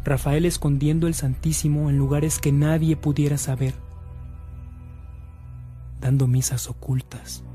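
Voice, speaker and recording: A man's voice: on the high side, flat delivery, a little slow, pauses often, warm tone, soft background music, natural voice. A man with a Mexican accent playing the earnest storyteller of a scripted radio drama. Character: earnest storyteller narrating a priest's life. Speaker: narrator.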